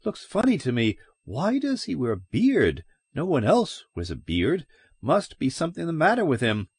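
A prying, suspicious small-town gossip in a storybook, high and sharp in pitch, as a male narrator puts her on. Her voice stays dry and close, with lively, swooping inflection. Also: normal speed, breathy edge, no echo, full character voice.

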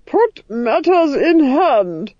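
A ruthless noblewoman from a storybook. She is haughty and imperious, speaking high and with sweeping intonation. Her orders come slowly and deliberately, in a close, dry sound. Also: sing-song, mellow, dry recording, muffled recording, exaggerated voice. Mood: cold, scornful. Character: haughty, ruthless, commanding duchess.

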